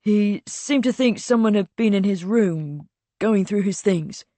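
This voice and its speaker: A woman voicing a polite, measured male institute director. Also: mid-range voice, dark tone, dry recording, voice pushed up, natural voice.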